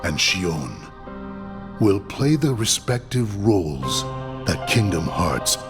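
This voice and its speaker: An actor playing a solemn, commanding villain overlord, grave, measured and grandiose, in a video game cutscene. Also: male voice, low voice, sing-song, over loud music, full character voice. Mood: commanding, assured. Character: solemn, commanding male villain overlord, coldly patient schemer.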